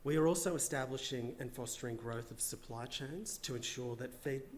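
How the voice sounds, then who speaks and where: A man, a government official, giving a measured conference presentation with a slightly hoarse, tired voice and frequent filler words. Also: medium pitch, a little hiss or hum. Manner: measured, partly read remarks with frequent uhs. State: losing his voice.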